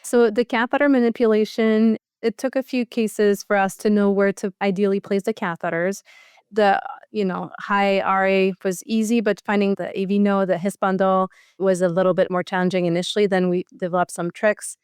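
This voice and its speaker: A woman's voice: medium pitch, little pitch movement, moderate pace, darkish tone, clear, resonant tone, no echo, studio quality. A woman speaking as a cardiologist in an interview, explaining a procedure in a conversational style.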